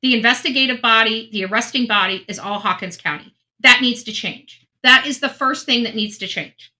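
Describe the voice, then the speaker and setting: A woman's voice: fairly high pitch, a little fast, sharp tone, rough recording. A woman giving casual true crime commentary, earnest and emphatic, often restarting her sentences.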